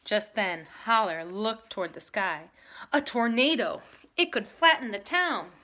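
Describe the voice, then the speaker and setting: A woman reading aloud to children in an expressive style, leaning dramatically on key words. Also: mid-range voice, measured pace. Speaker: storybook reader.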